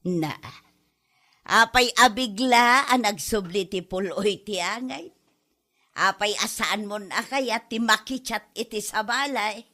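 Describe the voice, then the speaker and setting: A woman's voice: mid-range voice, takes it slowly, sharp tone. A woman playing a suspicious, scolding wife and mother with an Ilocano accent in a comedy drama, nagging and questioning. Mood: annoyed.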